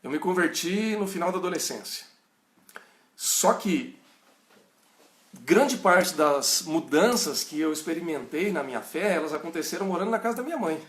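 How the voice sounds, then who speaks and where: A man's voice: a little high, expressive, normal speed, fairly bright tone, roomy sound. Man with a caipira Brazilian accent. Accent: rural São Paulo and Minas Gerais Brazilian. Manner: conversational, checks in with listeners often. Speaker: pastor teaching a Bible study. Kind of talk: informal teaching answering viewers' questions.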